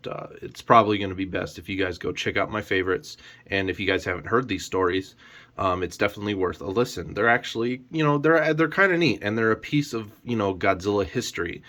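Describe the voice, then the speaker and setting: Low-voiced man giving a casual rambling review. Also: fairly bright tone. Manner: rambling, hedging with frequent "you know". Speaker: YouTube collector reviewer. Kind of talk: casual unscripted review vlog.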